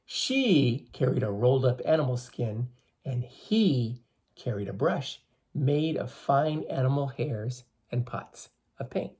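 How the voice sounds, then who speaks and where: Slightly husky storybook reader for young children. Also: mid-range voice, lively intonation, slow pace, a little mellow. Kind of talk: children's read-aloud, explanatory and expressive.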